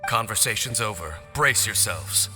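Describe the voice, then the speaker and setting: An actor playing a stoic, world-weary warrior cursed for centuries, speaking in formal, solemn, measured phrases in a video game. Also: male voice, on the low side, expressive, lightly acted. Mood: stern. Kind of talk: video game character acting. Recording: long reverb, faint music behind.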